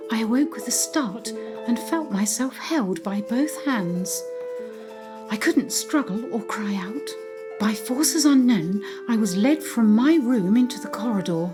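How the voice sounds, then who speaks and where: A woman's voice: medium pitch, natural voice. A woman playing an anxious, curious new governess in an audio drama, earnest and questioning. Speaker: amateur actor. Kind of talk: community audio drama acting.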